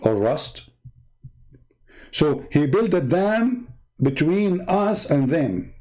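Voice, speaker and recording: A man's voice: fairly high pitch, big pitch swings, very slow, darkish tone. Sarcastic man, non-native English speaker, commentating. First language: not English. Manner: sarcastic, rhetorical questioning; emphatic, repeats words for effect. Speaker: host of a religious debate show. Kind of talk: mocking commentary on read-out religious texts.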